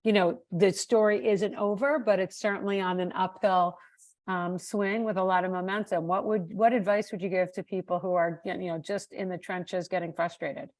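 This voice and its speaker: Woman podcast host, measured, reflective questioner; dry close mic. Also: lowish voice, fairly even intonation, a little mellow, dry recording. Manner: reflective, long framing questions. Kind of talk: interview questions.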